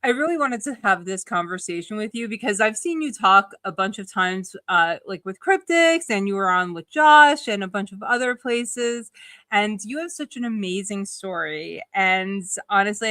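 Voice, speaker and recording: A woman's voice: medium pitch, pushed and bright, no room sound. A woman hosting a casual livestream interview in an effusive, chatty way. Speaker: host.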